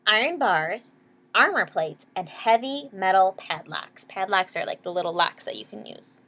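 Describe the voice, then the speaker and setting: A woman reading a children's picture book aloud expressively, pausing to explain words and ask young listeners questions. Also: medium pitch, animated, fairly bright tone. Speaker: read-aloud storyteller. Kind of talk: expressive children's story narration.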